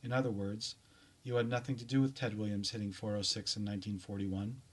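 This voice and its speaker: A man's voice: on the low side, little pitch movement, a little fast, slightly rough. A man reading his own short story, a scripted literary piece addressed to the listener. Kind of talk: scripted literary narration, second-person address.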